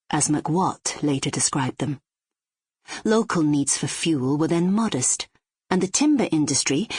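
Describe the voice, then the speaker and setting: A Scottish woman narrates a literary audiobook in a measured, descriptive way. Her voice sits fairly low and has a slightly husky edge. The recording is very dry and close. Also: no echo.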